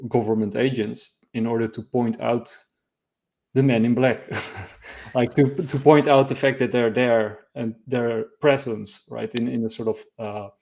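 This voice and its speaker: Gravelly-voiced man hosting a chat. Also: medium pitch, steady tone, measured pace, a little mellow. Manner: hesitant, stammering restarts. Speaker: host. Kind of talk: casual podcast chat.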